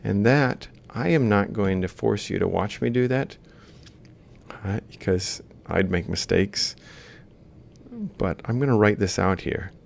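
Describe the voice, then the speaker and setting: Male lecturer, low register with heavy vocal fry, informal step-by-step walkthrough. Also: measured pace. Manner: explains step by step while writing. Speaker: lecturer in robotics. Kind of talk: informal worked-example lecture.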